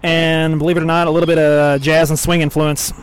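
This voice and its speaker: A man's voice: a little high, little pitch movement, fast, smooth. A man from Arkansas, a drummer, answering thoughtfully and hesitantly in an interview. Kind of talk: casual interview chat.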